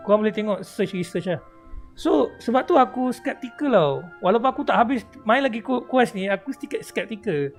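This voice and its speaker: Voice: medium pitch, expressive, fast talker, faint music behind. Chatty Malaysian game streamer. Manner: mixes Malay and English, chatty. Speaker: livestreamer. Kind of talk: casual game livestream commentary.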